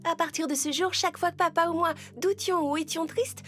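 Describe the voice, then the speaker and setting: A woman's voice: very high-pitched, steady tone, brisk, fairly bright tone, faint music behind, voice pushed up. A woman voicing a cheerful little orphan girl in a children's storybook, earnest and sentimental. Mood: bright, earnest. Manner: earnest, emotional.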